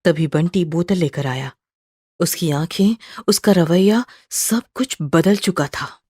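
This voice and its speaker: A woman's voice: fairly low pitch, slow, mellow, husky, no room sound, studio quality. A woman narrating a scripted horror story with suspenseful, dramatic delivery.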